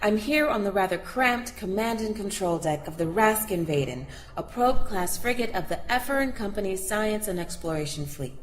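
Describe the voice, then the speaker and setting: A woman's voice: mid-range voice, lively intonation, moderate pace, hardly any pauses, lightly acted. Woman acting an earnest sci-fi field reporter, noisy ship. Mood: brisk, reporting. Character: intrepid female field reporter aboard a spaceship, earnest and awestruck. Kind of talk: audio drama live news report. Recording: noisy spaceship ambience behind voice.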